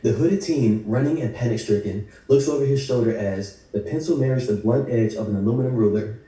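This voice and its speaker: Male script narrator, scripted action lines, very dark timbre, roomy and off-mic. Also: medium pitch, brisk. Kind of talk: reading screenplay action lines at a table read. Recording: very echoey room, distant mic.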